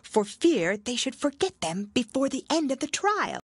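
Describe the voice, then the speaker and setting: Woman putting on a knowing gryphon creature, storybook style, hushed but high voice. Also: big pitch swings, moderate pace, husky, no echo, clean studio sound, full character voice. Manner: hushed, explanatory.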